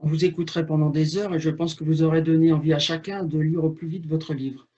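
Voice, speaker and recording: A man's voice: on the high side, steady tone, quick pace, mellow, muffled recording. A man asking interview questions in a courteous, formal way.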